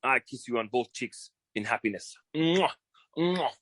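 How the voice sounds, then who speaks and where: Flamboyant French war hero, theatrical put-on French accent, mid pitch, florid drawl, radio comedy, dry close sound. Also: male voice, lively intonation, unhurried, a bit bright, no echo. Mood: grandly effusive. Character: flamboyant bumbling male agent posing as effusive French war hero. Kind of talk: old-time radio comedy acting.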